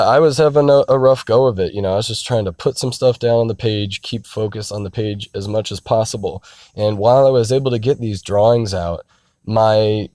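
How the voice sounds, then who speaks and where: A man in his thirties, hoarse and raspy from being sick, talking candidly and rambling earnestly from inside his parked car. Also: deep voice, a little mellow, no room sound, studio quality. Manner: rambling, earnest, frequent restarts and "you know". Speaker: artist vlogger. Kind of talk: candid casual talk to camera.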